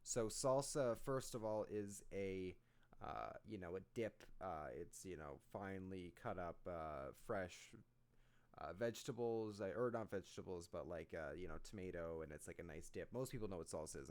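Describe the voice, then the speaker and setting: A man's voice: low voice, moderate pace, darkish tone, clean tone. A man hosting a rap reaction, casually explaining lyrics line by line with lots of fillers. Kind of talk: casual lyric breakdown commentary.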